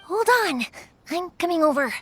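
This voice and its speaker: A chatty, upbeat young woman from a video game, speaks in a very high voice with strongly animated pitch. She sounds friendly and caring, with music playing underneath. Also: moderate pace. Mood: reassuring. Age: young adult. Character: bright, friendly young woman, fireworks maker, caring daughter.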